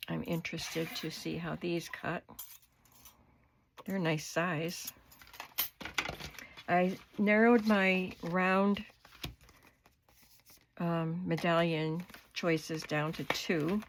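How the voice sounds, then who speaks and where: An older woman talks through her craft haul in a slow, easygoing way, her voice hoarse from a cold. Her low tones carry a gravelly fry, and a faint hiss sits under the recording. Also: steady tone. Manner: chatty, unhurried, describing items up close. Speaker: craft vlogger. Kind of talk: casual show-and-tell haul. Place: home craft studio.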